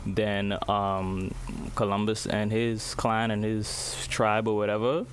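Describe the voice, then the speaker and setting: A man with a fairly low voice talks straight to his viewers in an earnest, unscripted way. He often pauses to search for the right word. A faint hum of room ambience sits under his voice. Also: level tone. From Bahamas. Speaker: vlogger. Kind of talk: casual spoken commentary to camera.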